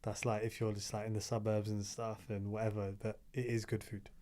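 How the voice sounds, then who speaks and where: Mellow, laid-back man. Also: on the low side, flat delivery. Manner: laid-back, agreeable, quick to back others up. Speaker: guest. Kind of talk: casual chat.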